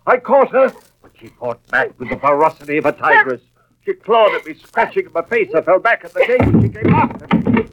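An actor playing a weary, anxious husband who narrates a suspense tale in measured, dramatic 1940s-50s radio style. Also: male voice, sits high, sing-song, very noisy. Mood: agitated. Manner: measured, dramatic first-person storytelling. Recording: muffled vintage radio recording with music.

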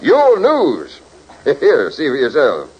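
Casting: courteous colonel, husky, expressive delivery, muffled old-time radio sound. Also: male voice, mid-range voice, takes it slowly, exaggerated voice. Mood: cordial, earnest. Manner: formal, earnest. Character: courteous, formal army colonel. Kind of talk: 1940s radio comedy acting. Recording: muffled 1940s radio recording.

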